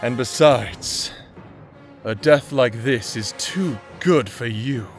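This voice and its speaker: An actor playing a vengeful, sadistic necromancer in an audio drama, taunting and gloating in a theatrical way. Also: male voice, medium pitch, slow pace, soft background music. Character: vengeful male necromancer, manic, gleefully sadistic escaped prisoner. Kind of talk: dramatic audio roleplay acting.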